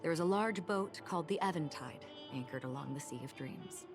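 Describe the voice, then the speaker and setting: A woman voicing a composed, melancholy traveler who recounts tales in a measured, poetic way in a video game. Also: sits low. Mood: wistful, measured. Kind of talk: scripted video game dialogue, storytelling. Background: loud music.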